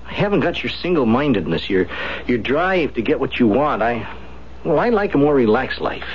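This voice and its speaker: A man's voice: mid-range voice, very animated, moderate pace, close to an everyday voice. An actor playing a weary, weak-willed man under a woman's thumb, hesitant and stammering, in a 1970s radio drama. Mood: hesitant, uneasy. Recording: muffled, noisy 1970s radio broadcast.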